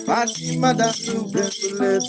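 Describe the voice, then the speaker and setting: A high-voiced man from Kenya chants and sings in a call-and-response style, throwing in playful shouts. He stretches his words slowly. Loud music with rattles plays under him throughout. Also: fairly even intonation. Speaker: traditional musician performing a livestream. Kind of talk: singing and chatting live with viewers. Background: loud live music with rattles.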